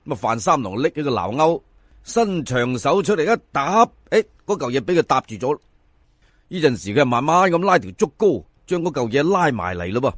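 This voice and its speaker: A Cantonese man tells a folk story with lively swings of pitch. His voice sits a little high for a man, and he is theatrical and chatty, addressing the audience directly. The recording is dry and close. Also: moderate pace, no room sound. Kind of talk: dramatic folk-tale narration in episodes.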